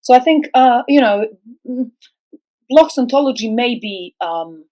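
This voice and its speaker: A middle-aged woman who grew up speaking Serbo-Croatian, a guest philosopher on a podcast, speaking slowly and hesitantly with many fillers and restarts. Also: fairly high pitch, expressive, dark tone, low-quality recording. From former Yugoslavia. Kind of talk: academic podcast discussion.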